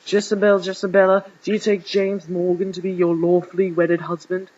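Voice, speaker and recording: A man voicing a formal, declamatory archbishop with a rasp, officiating in a story reading. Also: high voice, little pitch movement, normal speed, darkish tone, dull audio, voice pushed up. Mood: solemn, declaring. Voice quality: raspy. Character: rasping, ceremonious male archbishop officiating a wedding.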